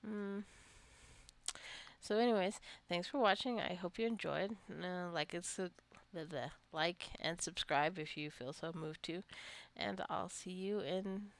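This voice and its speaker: A woman giving casual, rambling gameplay commentary as a let's-play host, musing aloud to the game's characters in a creaky voice. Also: on the low side, a little slow.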